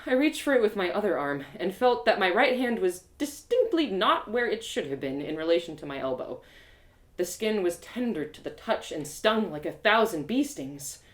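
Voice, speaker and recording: A woman giving a theatrical, expressive dramatic reading of a story as its narrator. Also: medium pitch, normal speed. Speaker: author performing her audio drama. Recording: roomy, echoey sound.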